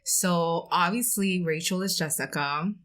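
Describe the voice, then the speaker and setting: A woman's voice: fairly low pitch, unhurried, a bit bright. A woman co-hosting a pop culture podcast in a casual, conversational style. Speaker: podcast co-host.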